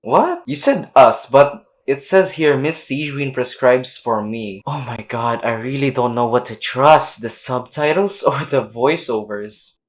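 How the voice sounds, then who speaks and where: Very low-voiced woman game streamer. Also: animated, unhurried, hardly any pauses. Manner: slangy, reactive. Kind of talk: casual let's play commentary, reading dialogue aloud. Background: faint game music.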